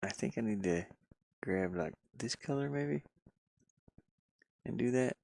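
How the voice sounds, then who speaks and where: A man's voice: mid-range voice, animated, unhurried, vocal fry, darkish tone, no echo. A man talking himself through his work in a casual think-aloud commentary, muttering playfully. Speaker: game developer streaming his pixel art work.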